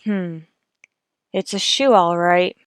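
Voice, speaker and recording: A woman's voice: fairly low pitch, slow, clear, resonant tone, no echo, clean studio sound. A woman playing a terse, businesslike police detective in a radio crime drama, clipped and matter-of-fact. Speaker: narrator and lead actor. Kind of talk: old-time radio crime drama acting.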